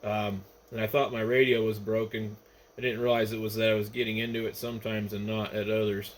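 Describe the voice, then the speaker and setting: A man's voice: fairly low pitch, level tone, fairly quick. American man chatting over noisy ham radio. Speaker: amateur radio operator. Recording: noisy ham radio transmission, static behind.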